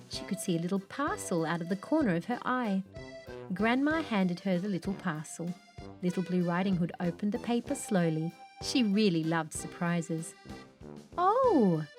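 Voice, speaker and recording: A woman reading a children's picture book aloud in an expressive read-along style, with faint music behind. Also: mid-range voice. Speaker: children's storybook narrator.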